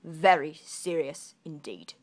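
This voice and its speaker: A woman's voice: low-pitched, lively intonation, slow pace, a little hiss or hum, pitched below the performer's own voice. A woman voicing a gloomy, dutiful male castle soldier in a children's storybook, with a stern official manner.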